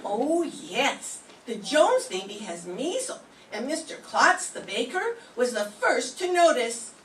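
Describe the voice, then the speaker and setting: An older woman reading the part of a naive, scatterbrained young woman in a radio sitcom, slow and literal-minded, recorded from far off in a roomy classroom. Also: high voice, big pitch swings, slight background noise, heavily acted. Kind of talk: 1940s radio sitcom acting.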